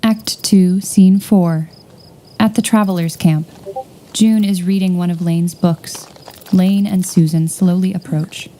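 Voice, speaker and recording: Lowish female voice, dark tone, slow scripted narration, stage directions. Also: steady tone.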